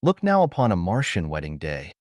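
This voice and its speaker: A man voicing a serene, cultured Martian ambassador with a clear, solemn manner in an audiobook. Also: low voice, wildly swooping pitch, brisk, a little mellow, no room sound. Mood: serene, stately.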